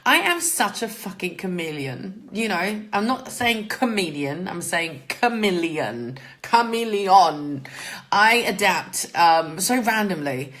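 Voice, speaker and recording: A woman's voice: fairly low pitch, lively intonation, slow pace, a bit bright. A German-born woman, a native German speaker with a mixed English and Australian accent, chatting informally and a little sweary as she hosts a casual livestream and greets viewers. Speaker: host, mindset coach.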